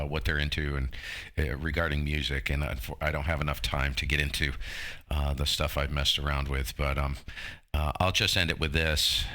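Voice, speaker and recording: Bright, deep-voiced man in his fifties. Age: 50s. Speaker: amateur radio operator. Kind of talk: casual radio chat.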